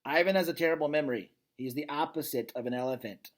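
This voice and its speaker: A man's voice: on the high side, fast talker, close to an everyday voice. Small loyal dog for a storybook, read by a man: bossy, blunt, encouraging, insistent. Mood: matter-of-fact.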